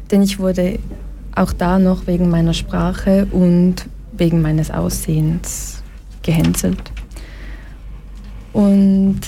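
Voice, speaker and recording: A woman's voice: medium pitch, mellow, slight background noise. A young woman from Brazil giving a personal talk to an audience, earnest and reflective, at one point reading aloud from her childhood diary.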